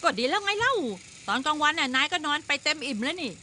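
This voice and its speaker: A woman voicing an eager, helpful male robot cat in a Thai cartoon dub, excitable and prone to flustered stammering. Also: high-pitched, quick pace, slight background noise, full character voice. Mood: fussing, eager. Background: music.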